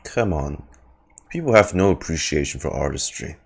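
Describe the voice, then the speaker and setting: A man's voice: a bass voice, animated, normal speed, creaky, slightly rough. A man chatting casually as he reacts to a game, muttering sweary, exasperated asides. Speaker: game streamer. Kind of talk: casual gameplay reaction chat.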